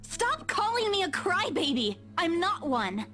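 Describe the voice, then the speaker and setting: A man's voice: very high voice, big pitch swings, unhurried, bright, forceful tone, soft background music, voice pushed up, heavily acted. A man voicing a small, feisty young woman in a visual novel, defensive and insistent. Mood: indignant. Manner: indignant, insistent.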